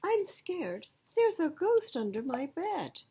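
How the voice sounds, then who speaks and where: A woman's voice: very high-pitched, big pitch swings, slow pace, mellow, a little hiss or hum, pitched above the performer's own voice, heavily acted. A woman voicing a timid, scared young male frog in a children's storybook, pleading and exclaiming. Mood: frightened.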